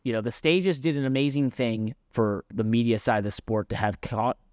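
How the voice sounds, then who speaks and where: A man's voice: mid-range voice, fairly even intonation, normal speed, darkish tone, dry recording, studio quality. A man co-hosting a casual motorsport podcast, talking through his argument in an explanatory way and often stopping to correct or qualify himself. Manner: explanatory, self-correcting, interjecting. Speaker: podcast co-host and racing driver. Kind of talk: casual sports podcast discussion.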